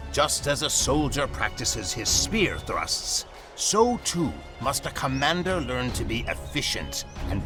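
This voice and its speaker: An actor playing a solemn military mentor, speaking in a measured, formal, instructive way for a strategy game. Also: male voice, mid-range voice. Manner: measured, instructive, formal, dramatic pauses before sayings. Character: solemn male military mentor quoting ancient Chinese strategy. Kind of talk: scripted game narration.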